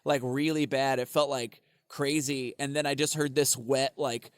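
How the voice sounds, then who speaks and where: A man's voice: mid-range voice, little pitch movement, normal speed, smooth, no echo, studio quality. A man co-hosting a comedy podcast, telling rambling stories full of swearing and frequent laughter. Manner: rambling, profane, laughs often. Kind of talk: casual comedic chat.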